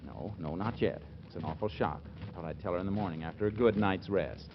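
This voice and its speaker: An actor playing a nervous, evasive newlywed husband who stammers and hesitates, in a 1940s radio comedy. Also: male voice, low-pitched, quick pace. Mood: uneasy. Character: flustered, evasive newlywed husband hiding a secret. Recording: dull, noisy old radio recording.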